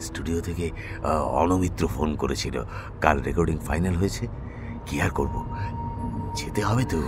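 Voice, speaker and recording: An actor playing a tipsy, irritable, evasive husband in an audio drama, brusque and repeating himself. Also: male voice, extremely low pitch, normal speed, over music. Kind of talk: Bengali audio drama acting.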